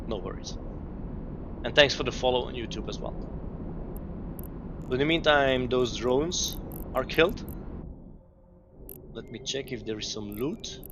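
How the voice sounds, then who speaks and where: A man from Belgium streaming a game, explaining his setup in halting speech full of fillers and restarts. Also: mid-range voice. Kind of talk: live-stream gameplay commentary. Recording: dull lo-fi mic with clear noise.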